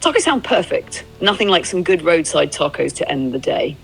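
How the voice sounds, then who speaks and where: Creaky AI man companion, music behind. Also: fairly high pitch, big pitch swings, moderate pace, fairly bright tone, low-quality recording. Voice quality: creaky synthetic voice. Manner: agreeable, encouraging. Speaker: AI companion playing a documentarian. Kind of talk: casual roleplay chat.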